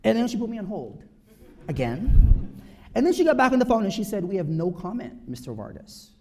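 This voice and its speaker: A man in his thirties from the Philippines giving a personal talk to an audience, earnest and emphatic, often checking in with a quick "right?". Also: high-pitched, big pitch swings, darkish tone.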